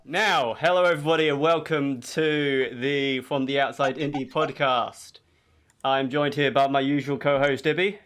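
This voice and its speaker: A man's voice: mid-range voice, steady tone, moderate pace, a bit bright. A man hosting a podcast interview, casually asking questions and answering with short affirmations. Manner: short affirmations, loose phrasing. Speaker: podcast host.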